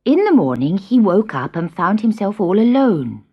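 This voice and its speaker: A woman reading a scripted children's story in an expressive, dramatic way with measured phrasing. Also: medium pitch, husky, lo-fi sound.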